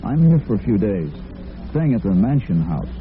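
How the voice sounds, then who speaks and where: An actor playing a suave, well-dressed stranger in old-time radio drama, with formal, clipped politeness. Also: male voice, on the low side, sing-song, normal speed. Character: well-dressed male stranger passing through, suave and courteous. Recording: tinny, lightly noisy vintage radio recording.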